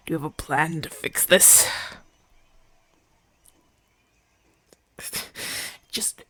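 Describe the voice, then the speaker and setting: Breathy, husky wounded gladiator played by a man. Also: fairly high pitch, pushed and bright, dry recording, heavily acted. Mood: weary, gruff. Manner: breathy, halting, weary delivery with gruff bluster. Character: wounded male gladiator champion, brash, proud, trusting his doctor. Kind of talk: ASMR audio roleplay.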